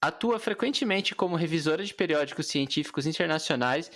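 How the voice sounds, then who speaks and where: A man's voice: on the high side, lively intonation, brisk, fairly bright tone. A man with a Brazilian accent presenting and interviewing as co-host of a podcast.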